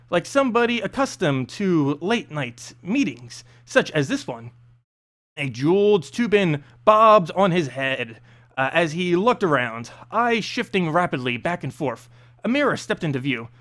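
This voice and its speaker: A man narrating a fantasy story aloud in an expressive, dramatic, slightly halting reading. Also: fairly high pitch.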